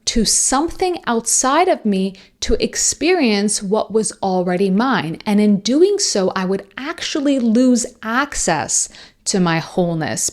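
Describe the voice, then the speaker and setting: A woman who is a native Persian speaker, hosting a reflective monologue in a measured, deliberate way with long pauses. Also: on the high side. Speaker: host.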